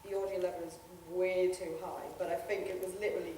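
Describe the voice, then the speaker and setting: A woman's voice: on the low side, level tone, fast talker, dark tone, a little hiss or hum. A young woman from Newcastle chatting casually on a convention panel about amateur voice acting, often laughing and acting out what others said. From Newcastle, England. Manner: chatty, laughs often, acts out quoted remarks. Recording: echoey hall, voice from far off.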